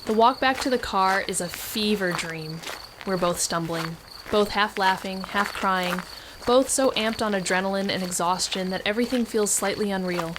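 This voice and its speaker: An actress as a brooding woman noir sleuth, rumbly backdrop. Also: background noise, close to an everyday voice. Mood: brooding, reflective. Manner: brooding, deliberate narration, dry understated dialogue. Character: adult female amateur sleuth and noir narrator, introspective, steady. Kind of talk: neo-noir audio drama narration and dialogue.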